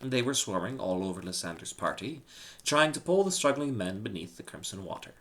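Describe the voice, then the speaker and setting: A man's voice: fairly low pitch, lively intonation, a little fast, a bit bright. A man reading a humorous short story aloud in a dramatic scripted narration. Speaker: story reader.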